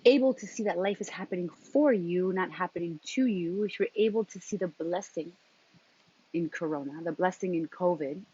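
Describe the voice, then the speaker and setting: A woman speaking as a spiritual coach in a motivational talk, deliberately, in short phrases with emphatic repetition and a husky voice. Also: lowish voice, a little mellow. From New York, of Colombian background. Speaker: transformative coach and intuitive guide. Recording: dull, lo-fi sound.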